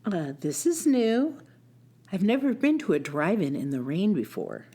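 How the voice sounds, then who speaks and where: A woman playing expressive young sweetheart. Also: mid-range voice, unhurried, slightly rough, full character voice. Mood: puzzled. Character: young female teacher, sweet, practical, in love. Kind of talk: radio story acting.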